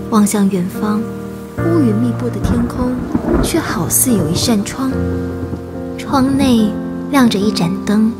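A woman's voice: mid-range voice, natural voice. A woman playing an earnest, sensitive teenage immigrant daughter in an audio drama, speaking and narrating in a heartfelt, emotive way. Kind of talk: audio drama acting and first-person narration. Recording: loud music behind the voice. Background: loud background music.

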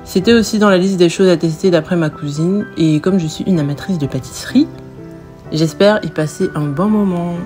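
A young woman reading a steady voice-over for her food review. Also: lowish voice, normal speed, soft background music. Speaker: vlogger.

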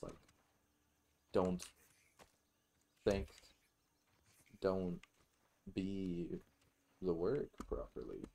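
A man's voice: low voice, gravelly, darkish tone. A man giving casual let's play commentary as a gaming host, musing aloud in a rambling way and swearing freely.

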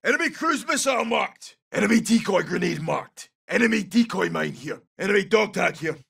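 An actor playing a gruff, brash Scottish special forces soldier in a military shooter game, giving clipped, urgent tactical callouts in a rough, gravelly voice. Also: male voice, high-pitched, lively intonation, a little fast, a bit bright, recorded from a distance, exaggerated voice. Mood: alert, clipped.

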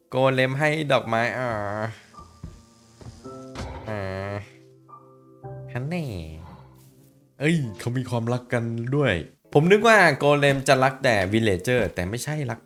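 A man hosting a reaction video, talking casually with excitable outbursts and repeated words. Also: mid-range voice.

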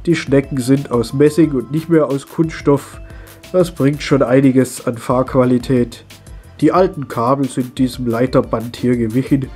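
A middle-aged man, stuffy and husky from a cold, chatting his way step by step through a hands-on hobby tutorial. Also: mid-range voice, steady tone, a little slow, darkish tone, faint music behind. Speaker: model railway hobby video host.

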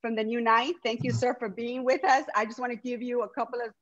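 A woman's voice: fairly high pitch, flat delivery, fast, lo-fi sound. A woman whose first language is Spanish, from Los Angeles, speaking earnestly as city council president on a video call. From Los Angeles, USA. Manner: earnest, speaks with many restarts. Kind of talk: opening remarks at an online public meeting.

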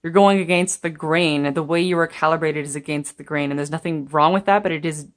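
Low-voiced woman reading tarot cards. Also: fairly even intonation, fairly quick. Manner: chatty, meandering, gentle, laughs while talking. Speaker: tarot reader. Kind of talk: pick-a-card tarot reading. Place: indoors at a table.

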